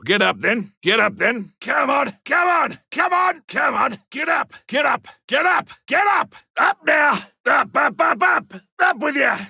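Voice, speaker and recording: A man voicing a gruff, hearty older Australian big-game hunter in a video game, gravelly, barking short battlefield lines. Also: extremely high pitch, lively intonation, a little slow, hardly any pauses, sharp tone, no echo, raised voice, heavily acted. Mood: gruff encouragement, then urgent rallying.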